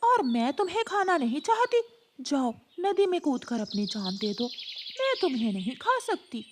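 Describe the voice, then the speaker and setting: A woman's voice: sits high, big pitch swings, moderate pace, noisy background. A woman voicing a cold, haughty female eagle in a cartoon story, curt and disdainful.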